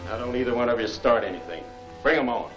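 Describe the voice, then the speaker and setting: An actor playing a bullying gang henchman who barks gruff tough-guy slang in a 1940s film serial. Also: medium pitch, fast talker, highs cut off, over music, natural voice. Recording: old 1940s film soundtrack.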